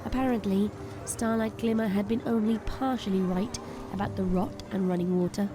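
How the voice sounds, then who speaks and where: A woman's voice: mid-range voice, fairly even intonation, moderate pace, noisy background. A woman narrator delivering dramatic scripted storytelling for an audio drama.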